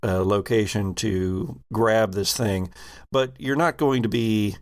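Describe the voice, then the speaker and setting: A man running a tabletop game as game master, improvising narration with halting, deliberate pauses mid-phrase. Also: lowish voice.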